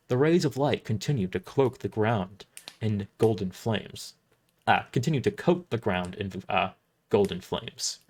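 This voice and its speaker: Animated man narrating an audio drama. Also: mid-range voice. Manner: halting, often rereads lines. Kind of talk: dramatic first-person scripted narration, read live.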